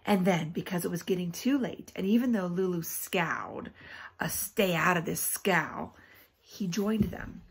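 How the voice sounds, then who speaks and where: A woman teacher reads a children's book aloud expressively, pausing often for emphasis and to put questions to young listeners. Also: on the low side, animated, vocal fry, breathy edge.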